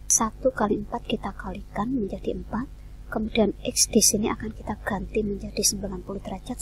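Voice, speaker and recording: Female math tutor, breathy, methodical, mid-pitched, light hum behind. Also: poor audio. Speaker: math teacher. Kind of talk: step-by-step lesson narration.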